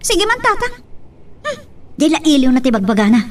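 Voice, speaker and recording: A woman's voice: high voice, big pitch swings, brisk. A woman playing a determined, exasperated wife in an audio drama, recorded at a distance from the microphone. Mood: firm, frustrated. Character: determined, exasperated wife planning to work abroad. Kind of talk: Ilocano radio-style audio drama.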